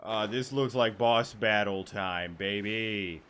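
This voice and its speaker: A man's voice: on the low side, takes it slowly, fairly bright tone, a little hiss or hum. A man giving casual playthrough commentary as a gaming creator, slangy, sweary and irreverent.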